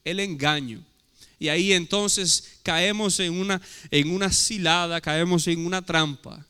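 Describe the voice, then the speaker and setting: A man's voice: high voice, a little slow, sharp tone, clear, resonant tone. A man with a Portuguese-tinged accent preaching a sermon as pastor, emphatic and full of rhetorical questions. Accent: Portuguese-tinged Spanish. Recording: echoey hall reverb.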